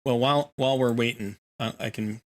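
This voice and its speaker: A man's voice: medium pitch, fairly even intonation, fairly quick. A man hosting a gaming livestream, chatting casually in a jokey, talkative way. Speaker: livestream host.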